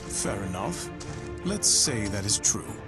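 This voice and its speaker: An actor playing a haughty, self-serving ruler in a fantasy video game, speaking with aloof, pompous formality. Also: male voice, lowish voice, very animated, slow pace. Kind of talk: dramatic video game cutscene acting. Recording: loud orchestral game music behind. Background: loud music.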